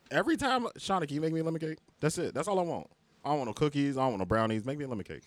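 A man's voice: fairly high pitch, lively intonation, rapid-fire, dry recording. A man hosting a podcast, telling stories in a laid-back, slangy way and laughing often. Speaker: podcast host. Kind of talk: casual chat.

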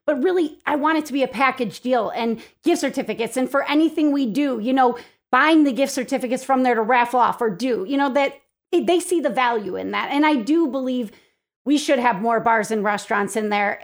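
A woman's voice: on the high side, a little fast. A woman giving enthusiastic, informal answers as a guest in a casual interview, speaking as the president of a local organization.

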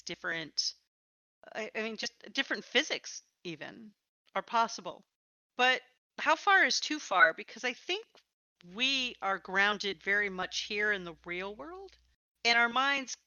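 A woman hosting a conversational interview, talking in an animated, questioning way. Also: mid-range voice, dry recording, highs cut off. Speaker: podcast host and interviewer.

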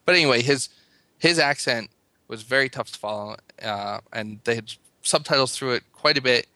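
Bright, dry-voiced American man. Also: medium pitch, normal speed, dry recording. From United States. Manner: rambling, full of fillers. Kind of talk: casual podcast chat.

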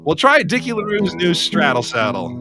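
A man hosting a casual comedic talk show, bantering and riffing in a jokey way. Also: on the high side. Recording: music behind the voice.

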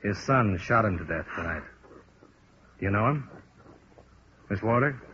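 Dogged American radio sleuth, terse and understated, 1950s detective serial, hissy vintage sound. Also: male voice, lowish voice, expressive, measured pace, bright, forceful tone. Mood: terse, weary. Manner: clipped, hard-boiled, understated. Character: dogged, terse male insurance investigator narrating his case. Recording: muffled, noisy vintage radio recording.

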